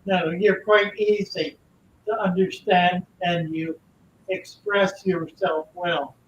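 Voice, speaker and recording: An older man hosting a casual call-in chat, giving slow, genial and encouraging replies. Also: high-pitched, little pitch movement, dull audio, a little hiss or hum. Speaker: host. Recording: roomy sound from far off, faint ambience.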